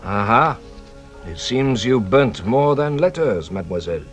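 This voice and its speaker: An actor playing a courtly, shrewd French police detective in a radio drama, probing and ceremonious with a teasing, theatrical manner. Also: male voice, medium pitch, lively intonation, unhurried, soft background music, lightly acted. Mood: probing, courteous. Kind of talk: British radio drama acting.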